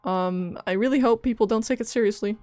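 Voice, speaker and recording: Woman, game streamer, casual playful commentary, slightly high pitch, dry close mic, soft music behind. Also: brisk, dry recording. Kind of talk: casual reactions and chat over a game playthrough. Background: faint game music.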